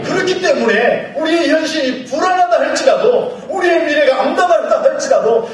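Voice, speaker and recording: Fervent pastor with a cold, echoey hall. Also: male voice, very high voice. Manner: fervent preaching that swells into shouts. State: has a cold. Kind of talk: Sunday morning sermon. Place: church sanctuary. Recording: very echoey church hall, mic far off, faint music.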